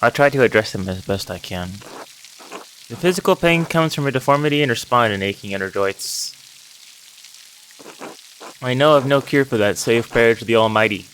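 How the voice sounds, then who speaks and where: A man voicing a solemn, devout priest in a narrative game, speaking in a measured, formal, pious way. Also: medium pitch, lively intonation, fairly bright tone, slight background noise, close to an everyday voice, poor audio. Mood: hushed, solemn. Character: solemn devout priest, gentle confessor, male. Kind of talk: reading aloud narrative game dialogue. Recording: music and rain behind the voice.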